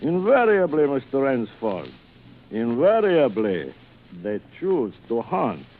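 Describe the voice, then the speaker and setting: An actor playing a suave, cruel aristocratic older general who hunts men, in urbane, theatrical 1940s radio thriller style with savored pauses. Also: male voice, medium pitch, huge pitch swings, exaggerated voice. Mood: coolly menacing. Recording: muffled, noisy vintage radio recording.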